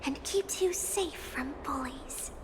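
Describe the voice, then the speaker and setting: A woman's voice: high voice, slow pace, background noise. A woman voicing a sweet, innocent little girl in a video game, earnest, polite and admiring. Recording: loud music under the voice.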